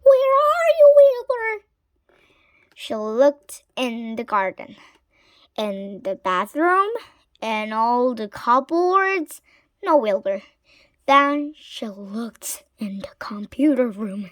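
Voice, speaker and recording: A woman reading a children's story aloud in a dramatic way, sometimes hushed. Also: a little high, lively intonation, takes it slowly, dry recording. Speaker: storybook reader.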